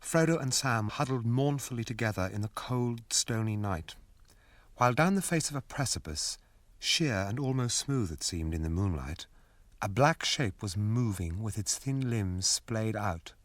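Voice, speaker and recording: A man's voice: on the low side, expressive, moderate pace, no echo, close to an everyday voice. A man narrating a fantasy radio drama as a grave storyteller, reading scripted prose. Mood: grave, ominous.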